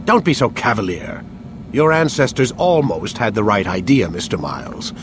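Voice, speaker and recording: An actor playing a smug, lecturing captor in a video game, measured and condescending in how he talks. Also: on the low side, lively intonation, close to an everyday voice. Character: smug, lecturing male captor with grand theories. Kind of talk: video game cutscene acting. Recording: clear ambient hum and noise.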